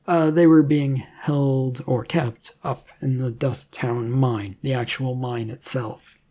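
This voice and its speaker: A narrator doing a hesitant, husky reluctant young hero. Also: medium pitch, steady tone, slow, warm tone. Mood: wary bargaining. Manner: hesitant, stammering, questioning. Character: nervous, bewildered young male reluctant hero.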